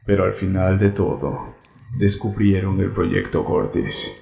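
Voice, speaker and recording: A man's voice: sits low, very animated, measured pace, gravelly, darkish tone, exaggerated voice. A man voicing a skeptical masked interrogator in a Latin American Spanish game dub, dry and sardonic in a roomy echo. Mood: dry, menacing. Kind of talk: fan dub of a video game.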